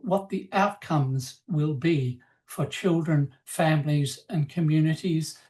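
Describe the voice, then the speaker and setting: A woman presenting in a webinar, explaining slides in a measured, formal, semi-scripted way. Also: low-pitched, dark tone, rough recording.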